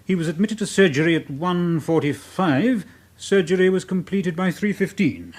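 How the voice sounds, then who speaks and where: An actor playing a measured hospital registrar in a radio drama, speaking in a precise, formal, matter-of-fact way. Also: male voice, high-pitched, expressive.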